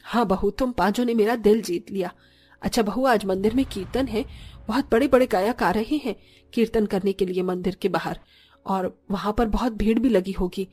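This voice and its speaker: A woman's voice: mid-range voice, normal speed, a little mellow, husky, faint music behind, lightly acted. A woman playing a middle-aged village mother-in-law in a moral story, plain-spoken and given to complaining. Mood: softened, grateful. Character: grumbling short village mother-in-law, slowly softening. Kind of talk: scripted Hindi moral story voice acting.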